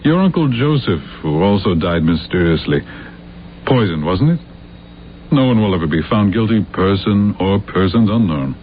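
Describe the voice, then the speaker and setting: An actor playing a loyal, practical and skeptical friend with a measured conversational manner in a 1970s radio drama. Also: male voice, on the low side, lively intonation, lightly acted. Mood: matter-of-fact. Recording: tinny, hissy old radio recording with hum.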